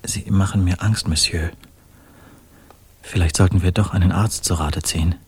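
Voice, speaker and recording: An actor in a radio drama playing a polite, uneasy bohemian man of the world, speaking in a courteous, measured, conversational way. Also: low-pitched, fairly even intonation, breathy edge.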